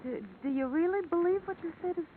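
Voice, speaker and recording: A woman's voice: extremely high pitch, fast. A woman playing an anxious, tender young woman in an old radio detective drama, speaking hesitantly and with worry. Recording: very noisy, tinny vintage radio recording.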